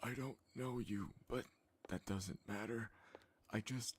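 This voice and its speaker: A man voicing a dying man in a game, pleading slowly and quietly for someone to listen. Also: medium pitch, steady tone, a crowd in the background, lightly acted.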